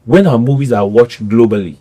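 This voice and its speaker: A man's voice: mid-range voice, brisk, warm tone. A middle-aged Nigerian man with a Nigerian accent, an actor speaking earnestly as a guest in an interview and repeating phrases for emphasis. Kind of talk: conversational interview.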